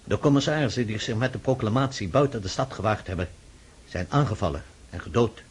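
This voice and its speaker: An actor in a radio play voicing a deferential, dutiful military aide who reports formally and soberly to his emperor in a husky voice. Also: lowish voice, expressive, brisk. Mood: grave, reporting. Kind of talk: radio drama acting.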